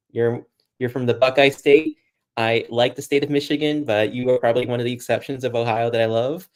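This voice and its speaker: Chatty American man on a livestream. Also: medium pitch. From Boston, United States. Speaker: guest sports journalist. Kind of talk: casual livestream chat.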